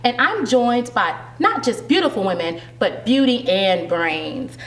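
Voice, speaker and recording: Lively woman host, far off mic. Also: on the high side, a little slow. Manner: upbeat, encouraging interjections. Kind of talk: live event interview. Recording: roomy, from off mic.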